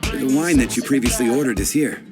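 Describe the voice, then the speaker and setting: A man's voice: mid-range voice, a little fast, music in the background, natural voice. A man voicing a polite, matter-of-fact tavern bartender in a fantasy video game.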